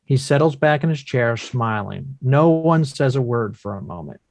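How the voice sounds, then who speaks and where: A man narrates stage directions from a script. His voice is medium-pitched, captured close and dry with no room sound. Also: animated, normal speed, vocal fry, a little mellow, no echo.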